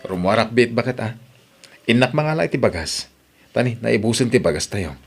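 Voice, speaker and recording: A man's voice: mid-range voice, animated, moderate pace, vocal fry, husky, soft background music. A man playing a weary, defensive young husband in an audio drama. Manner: defensive, tired.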